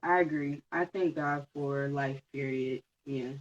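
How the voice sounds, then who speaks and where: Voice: medium pitch, steady tone, measured pace. Easygoing podcast host, dull audio. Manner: easygoing, agreeable, laughs often. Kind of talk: casual interview questions.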